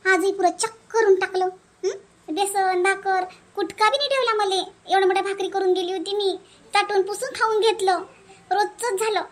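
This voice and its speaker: Woman doing super high childlike cartoon village bride, varhadi marathi accent, put-upon, always grumbling. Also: steady tone, moderate pace. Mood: plaintive, self-pitying. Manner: plaintive, colloquial grumbling. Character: put-upon young newlywed village daughter-in-law, complaining and weary. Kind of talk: animated family comedy dialogue.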